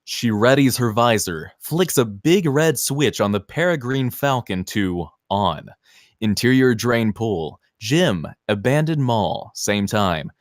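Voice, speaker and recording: A man reads screenplay action lines with expressive, swinging intonation. The delivery is dramatic and punchy, in a close, dry sound. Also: medium pitch, takes it slowly, no echo. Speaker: stage-direction narrator. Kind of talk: scripted screenplay narration.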